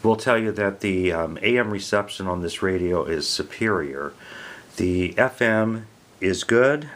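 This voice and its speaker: An American man giving a casual product review, explaining things in a plain, unhurried way. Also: sits low, a bit bright. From American Midwest.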